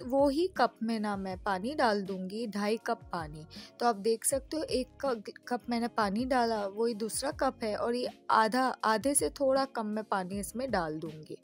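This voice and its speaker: A woman from Pakistan with a Pakistani Urdu accent, chatting through a home cooking recipe as host of her channel. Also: on the high side. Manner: chatty, friendly, repeats tips. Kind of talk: casual step-by-step cooking voice-over.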